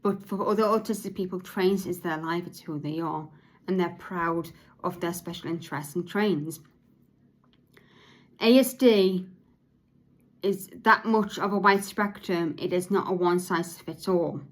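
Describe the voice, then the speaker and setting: Woman, lowish voice, halting speech with restarts, at times monotone. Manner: says her voice is sometimes monotone; halting, with frequent restarts and repeated words. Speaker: podcast host.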